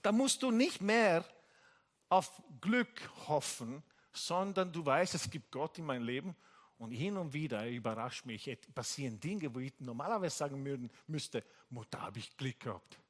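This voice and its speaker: An Italian-accented man preaching at a church service, his voice sitting a bit higher than most men's. He moves between calm teaching and animated storytelling, and his pitch shoots up when he acts out other people's lines. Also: normal speed. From Italy. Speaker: pastor. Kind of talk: sermon with anecdote.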